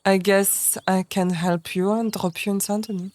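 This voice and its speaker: A woman's voice: medium pitch, steady tone, moderate pace, darkish tone, lightly acted. A woman with a French accent, playing a frank and curious young French woman on horseback who speaks plainly in slightly broken English. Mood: curious, chatty. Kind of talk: role-play in a western game.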